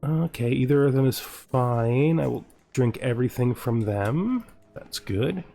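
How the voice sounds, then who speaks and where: A man's voice: medium pitch, expressive, unhurried, vocal fry, warm tone. A man giving casual let's-play commentary, thinking aloud and muttering short asides to himself as he plays. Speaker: gamer narrating his own playthrough.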